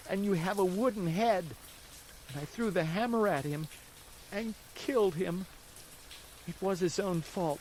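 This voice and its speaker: A man putting on a high-pitched, whining wooden puppet boy who swings wildly up and down in pitch as he pleads and fusses. He is hungry, fussy and excitable, a storybook figure. Faint rain patters behind him. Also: a little hiss or hum, low-quality recording. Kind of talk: storybook reading.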